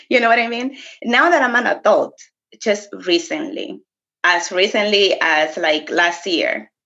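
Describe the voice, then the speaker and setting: Animated woman chatting, slightly muffled. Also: mid-range voice, a little slow. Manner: animated, laughs often, code-switches briefly. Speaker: podcast co-host. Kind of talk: casual conversation.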